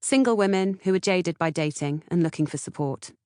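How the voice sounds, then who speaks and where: A woman's voice: lowish voice, brisk, darkish tone, clean tone, no room sound, studio quality. A woman with a British accent reading scripted audiobook narration. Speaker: author-narrator reading audiobook, podcast co-host.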